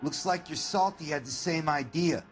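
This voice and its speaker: An actor playing a surly, defensive ex-convict under questioning, curt and slangy, in a video game crime drama. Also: male voice, fairly high pitch, normal speed, soft background music, lightly acted. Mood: sullen.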